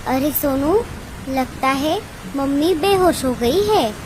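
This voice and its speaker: A woman's voice: high-pitched, lively intonation, takes it slowly, background noise. A woman voicing an anxious young girl bird in a cartoon, childlike and pleading. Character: anxious young chick, eldest daughter of bird family. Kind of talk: cartoon dub acting.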